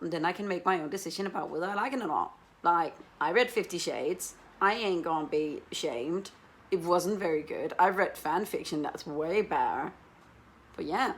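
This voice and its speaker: A woman's voice: fairly low pitch, creaky. A woman hosting a casual book vlog, chatting in an enthusiastic, rambling, self-mocking and sweary way. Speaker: book reviewer, video host.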